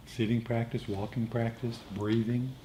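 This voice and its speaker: A man gently instructing a meditation group in an informal, conversational way. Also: medium pitch, flat delivery, normal speed. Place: indoor meditation group meeting. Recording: clearly noisy room recording.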